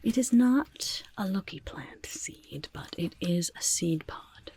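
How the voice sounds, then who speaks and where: A quirky, shifty goblin merchant played by a woman peddles grotesque wares in an ASMR roleplay. The voice is very husky and breathy, mostly whispered, with a gravelly crackle. It moves slowly and haltingly, drawing words out like an eager but easily offended seller. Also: on the low side, animated, mellow, exaggerated voice. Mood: sly sales pitch.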